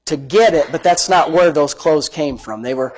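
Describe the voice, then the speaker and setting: Male preacher, high-pitched big voice, folksy and emphatic teaching delivery, repetitive for emphasis. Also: moderate pace, slight background noise. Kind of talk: sermon, conversational teaching. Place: live-streamed church service, amplified.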